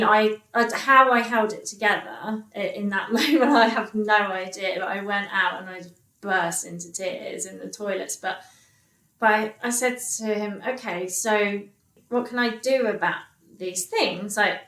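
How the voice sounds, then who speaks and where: A woman's voice: medium pitch, fairly bright tone. Woman telling a story in a roomy echo. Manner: halting, many restarts and fillers, quotes others. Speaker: podcast guest. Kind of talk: candid interview storytelling. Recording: echoey room.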